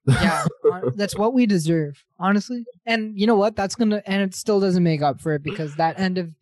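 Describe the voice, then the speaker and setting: A man in his twenties, co-hosting a casual sports chat, rambling and quick to laugh. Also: high voice, a little mellow, dry recording. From Ontario, Canada. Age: 20s. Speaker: podcast co-host.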